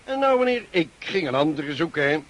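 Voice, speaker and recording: Cheeky Cossack scout, bright and animated. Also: male voice, fairly high pitch, fairly quick. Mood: boastful. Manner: boastful, rustic storytelling with feigned innocence. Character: cheeky, rough male Cossack scout, bragging and unrepentant. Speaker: actor in a radio play. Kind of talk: Dutch radio drama acting.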